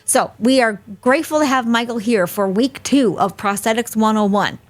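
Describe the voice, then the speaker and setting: A woman's voice: on the high side, moderate pace. A woman hosting a live webinar over a video call, chatty and friendly, laughing easily. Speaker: host.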